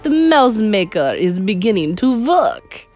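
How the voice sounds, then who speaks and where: A theatrical witch leader from a storybook, voiced by a woman, who drips false sweetness and then barks shrill orders. She stretches her words slowly and pushes them loud and high. Faint music plays behind her. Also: very animated. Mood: gloating. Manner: syrupy sweet turning to shrill commands. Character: menacing female witch leader, scheming and theatrical.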